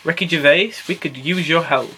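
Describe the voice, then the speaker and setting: A man's voice: a little high, normal speed. A young man hosts a casual podcast chat, teasing his co-hosts and jumping between topics. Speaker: podcast host. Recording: faint hiss and clicks.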